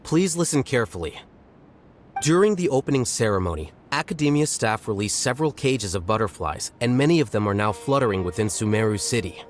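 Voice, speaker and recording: A man playing a stern, businesslike contest announcer in a video game, speaking formally and deliberately. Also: fairly low pitch, lively intonation, close to an everyday voice.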